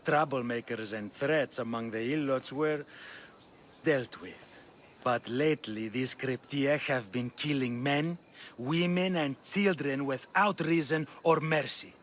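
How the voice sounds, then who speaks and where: A man's voice: medium pitch, slow pace, fairly bright tone, slight background noise. An actor playing a wry, shrewd Spartan man of authority in a video game, with a dry, grave manner. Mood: grave, disdainful. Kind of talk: dramatic video game acting.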